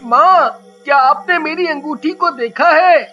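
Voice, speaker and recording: Male actor as small earnest boy, piercingly high bright voice, slow childlike delivery, cartoon fable, caring son. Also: heavily acted. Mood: pleading. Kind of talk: animated moral story dialogue. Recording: muffled audio with music behind.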